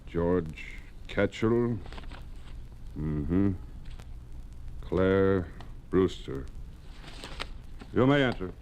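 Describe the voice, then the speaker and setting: Impassive doorkeeper, very low voice, curt and official, faintly noisy vintage radio. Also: male voice, lively intonation, extremely slow, pauses often, a little mellow, heavily acted. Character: stern, impassive male guard at senate door. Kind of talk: 1950s radio drama acting. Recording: old radio recording, faint noise.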